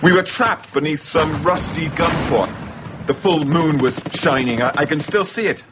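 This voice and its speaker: An actor playing an obsessive, unstable man in a radio drama, speaking haltingly and intensely. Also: a little high, close to an everyday voice. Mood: haunted, intense. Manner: halting, repeating words, intense. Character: obsessive, unstable man who confuses films with his own memories. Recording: dull recording with faint background noise.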